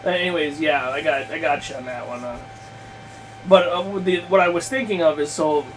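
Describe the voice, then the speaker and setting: A man's voice: fairly high pitch, a little fast. A man in a casual chat about tabletop games, rambling and thinking aloud as he circles back mid-sentence. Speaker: podcast guest planning a tabletop campaign. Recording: clear background noise and hum.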